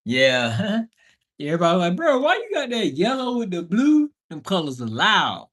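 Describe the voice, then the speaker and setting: A man with a very lively, swinging pitch chats casually as a livestream host. His delivery is slangy and playful, leaning hard on emphatic words. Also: moderate pace. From Bahamian background. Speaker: livestream host and house flipper.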